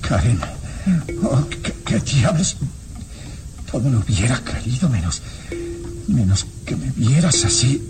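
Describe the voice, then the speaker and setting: Loud music surrounds an exhausted, tormented suspect in a radio serial. He speaks slowly and haltingly, groaning and pleading, with big swings in pitch. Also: big pitch swings. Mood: ashamed, exhausted. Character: exhausted, anguished man suspected of being a werewolf. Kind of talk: old radio serial acting.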